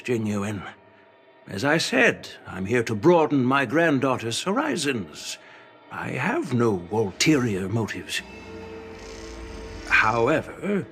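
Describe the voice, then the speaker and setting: An actor playing an older, stern male general who lectures slowly and with measured weight. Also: vocal fry, exaggerated voice. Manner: measured, lecturing, lively pitch. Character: stern old male general, wise and commanding. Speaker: veteran general. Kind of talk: game voice acting.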